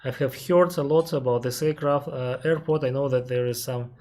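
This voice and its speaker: A man with a Russian accent, an airline captain on a live stream, reading out viewer questions and answering them carefully, often pausing with "uh" and restarting his sentences. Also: medium pitch, steady tone, a little mellow. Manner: careful, explanatory, pauses with frequent "uh" and restarts; reads viewer questions aloud, then answers at length.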